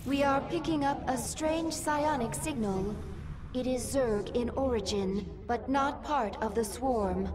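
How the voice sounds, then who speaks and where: A woman playing a loyal alien adviser in a video game, solemn, formal and measured. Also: high-pitched, a crowd in the background. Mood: solemn, reporting. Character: loyal alien female adviser to the swarm queen. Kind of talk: video game character acting.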